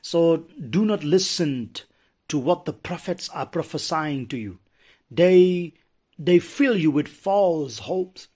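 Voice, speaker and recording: A man's voice: on the high side, expressive, slow, a little mellow. A middle-aged man preaching an impassioned sermon as a pastor, with repeated restarts and rising emphasis, recorded on a lapel mic. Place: wood-paneled church pulpit indoors, later outdoors on a hillside.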